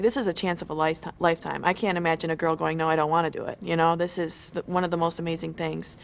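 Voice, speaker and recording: A woman's voice: lowish voice, vocal fry. A twenty-year-old woman giving an eager, chatty pre-show interview, full of "you know" and restarts. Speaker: model contestant.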